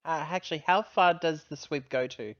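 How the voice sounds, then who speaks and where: A man's voice: a little high, normal speed, highs cut off. A man chatting casually and thinking aloud as a player in a tabletop roleplaying game.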